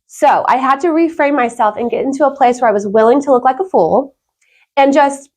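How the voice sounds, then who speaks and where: A woman with a fairly high voice speaks as an interview guest. She tells her story conversationally, with earnest emphasis on her points. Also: fairly quick, darkish tone. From Wales. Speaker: talk show guest, violinist.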